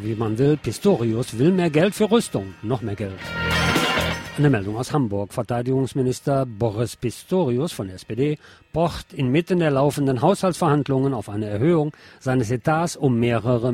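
A man's voice: medium pitch, animated, moderate pace, mellow, faint music behind. A man presenting radio news, reading reports in a scripted style and slipping in sardonic asides. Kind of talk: reading news bulletins with wry commentary.

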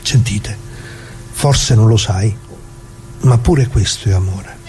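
An actor playing a dead retired working-class man, bitter and plain-spoken, addressing listeners in a halting, repetitive theatrical radio monologue. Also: fairly low pitch, over music. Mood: reflective, doubtful. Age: older.

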